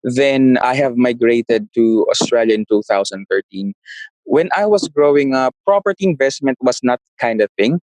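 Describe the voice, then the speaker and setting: A man talks through his answers in a relaxed, conversational way, pausing to search for the right word and restarting phrases. His voice sits at an ordinary middle pitch. The sound is dry and close, with no room echo. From Philippines. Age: 30s. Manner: searches for words, frequent restarts. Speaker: guest, property investor. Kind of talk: casual interview answers.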